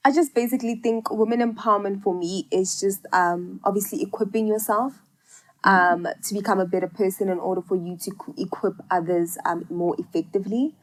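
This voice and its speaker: A young woman co-hosts a relaxed chat show while fighting a cold. She talks in a loose, chatty way, dropping plenty of ums and likes as she works out her questions. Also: mid-range voice, fairly quick, rough recording. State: has a cold. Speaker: co-host. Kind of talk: casual podcast chat.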